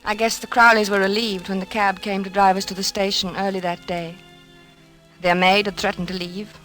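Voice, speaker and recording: A woman in 1940s radio acting, playing an anxious, troubled wife narrating her ordeal in a measured, suspenseful way. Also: medium pitch, flat delivery, a bit bright, lightly acted. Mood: grave, narrating. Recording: old radio recording, music behind.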